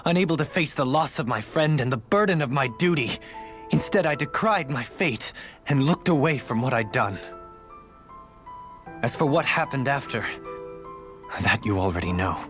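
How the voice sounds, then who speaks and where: An actor playing a refined, guilt-ridden young nobleman with eloquent, formal, measured phrasing in a video game cutscene. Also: medium pitch, expressive, fairly bright tone, soft background music, lightly acted. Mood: anguished.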